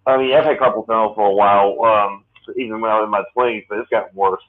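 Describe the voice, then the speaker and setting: Halting man in his late thirties on a tinny line. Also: on the low side, steady tone. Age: late 30s. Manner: rambling, halting with many restarts. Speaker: podcast host. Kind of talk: casual podcast chat.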